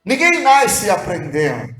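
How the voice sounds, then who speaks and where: A high-voiced man preaches slowly and deliberately, leaning on earnest rhetorical questions to his listeners. His voice rings out in an echoey church room. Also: wildly swooping pitch, fairly bright tone. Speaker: pastor. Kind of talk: devotional sermon. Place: church hall.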